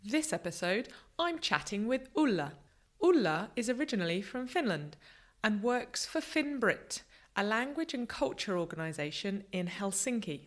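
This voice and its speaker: A woman's voice: medium pitch, sing-song, moderate pace. A woman hosting an English-learning podcast, interviewing a guest over a video call in an upbeat, encouraging way. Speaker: podcast host. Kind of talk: presenting and interviewing.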